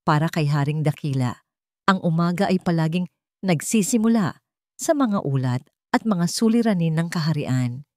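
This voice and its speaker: A woman's voice: low-pitched, expressive, moderate pace, a little mellow, no echo, clean studio sound. A woman narrating a scripted story in a solemn, dramatic storytelling manner.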